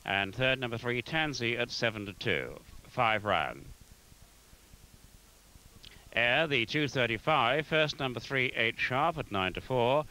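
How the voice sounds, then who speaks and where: A man reading out horse racing results in a formal, measured, scripted manner. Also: mid-range voice, expressive, pushed and bright, poor audio.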